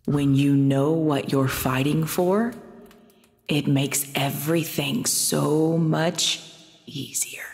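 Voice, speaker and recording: A woman acting a commanding, taunting supernatural mentor in a dramatic audio roleplay, with a deliberate, imperious delivery. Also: sits low, fairly even intonation, husky, heavily acted. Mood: earnest, instructive. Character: commanding, taunting female supernatural mentor, menacing then caring. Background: soft background music.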